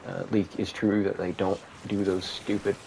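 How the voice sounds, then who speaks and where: A man giving rambling, monotone commentary while playing a video game, with many fillers. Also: low-pitched, moderate pace, creaky. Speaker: gaming video creator. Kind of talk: casual gameplay commentary. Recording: steady background noise.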